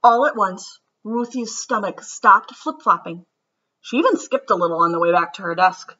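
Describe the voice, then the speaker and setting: A woman reading a children's picture book aloud with expressive storytelling, then gently reflecting on it. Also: mid-range voice, normal speed, husky. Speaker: storybook reader. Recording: dull lo-fi recording.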